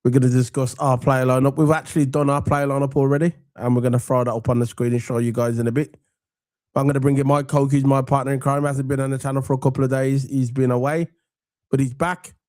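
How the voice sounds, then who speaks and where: Croaky English man hosting football chat. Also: medium pitch, level tone, fast, no room sound, clean studio sound. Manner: chatty, rambling, gives shout-outs to viewers. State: croaky from a cold. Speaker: football podcast host. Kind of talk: casual chat.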